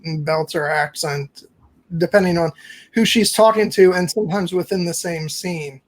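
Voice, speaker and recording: A man with a notably high voice takes part in a casual discussion. He speaks thoughtfully and sometimes hesitates mid-thought. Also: moderate pace.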